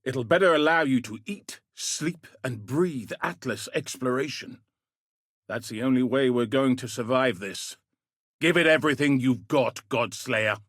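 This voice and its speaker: An actor playing a gruff, dutiful military commander in a video game, with a husky, rough-edged voice and a grave, dry, clipped delivery. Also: male voice, mid-range voice, a little slow, a bit bright, dry recording. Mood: grave, resolute. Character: gruff, dutiful male military commander sending a warrior into danger. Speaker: video game character, quest giver. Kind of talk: scripted video game voice acting.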